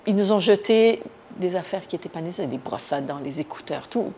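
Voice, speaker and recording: A woman from Iran giving a spontaneous first-person account in an interview, often pausing to search for words. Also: on the low side, animated, dark tone. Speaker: interviewee, activist recounting her detention. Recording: noticeable background noise.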